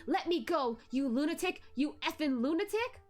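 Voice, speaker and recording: Frantic female fighter performed by a woman reader, shrieking with fury, high-pitched, fantasy novel read-aloud. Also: lively intonation, moderate pace, darkish tone, faint music behind. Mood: frantic rage. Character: frantic, furious female warrior screaming at attacker.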